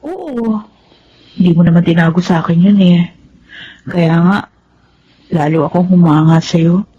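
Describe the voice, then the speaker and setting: An actress playing a caring, devout young woman who advises in a gently persuasive way in a Tagalog radio drama. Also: on the low side, unhurried, natural voice. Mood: concerned. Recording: dull, noisy lo-fi sound.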